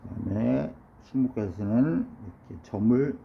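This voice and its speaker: A hoarse, middle-aged man with a cold gives a measured ink-painting lesson while he paints. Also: mid-range voice, animated. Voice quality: gravelly. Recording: dull lo-fi sound with faint noise.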